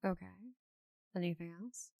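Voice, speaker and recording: A woman voicing a giant female orc knight, teasing, confident and tenderly flustered, in a soft, intimate ASMR roleplay with breathy murmurs. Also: on the low side, fairly even intonation, moderate pace, no room sound, clean studio sound, close to an everyday voice.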